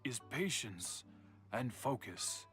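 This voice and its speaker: A man's voice: medium pitch, very animated. Old craftsman guy, stern n patient, formal scolding, game dialogue w music behind. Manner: measured, formal, admonishing. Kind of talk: anime game voice acting.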